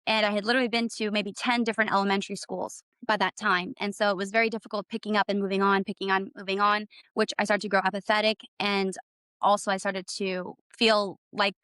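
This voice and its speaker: A woman's voice: medium pitch, level tone, fast talker, fairly bright tone, clean tone, dry recording. A middle-aged woman from Canada, a guest in an interview, speaking reflectively with hesitant fillers. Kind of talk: personal interview conversation.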